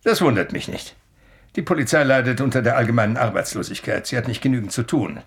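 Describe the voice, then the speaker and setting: An actor playing an elderly, eccentric male professor in a radio play, courteous and evasive, with a deliberate, slightly hesitant way of talking. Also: mid-range voice, animated, husky. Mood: dryly defensive. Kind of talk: German radio play acting.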